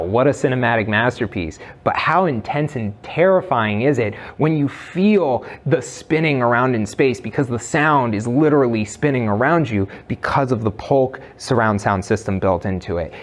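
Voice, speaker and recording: A man's voice: mid-range voice, darkish tone. A man presenting a product review in a conversational, semi-scripted way, with an enthusiastic, emphatic delivery.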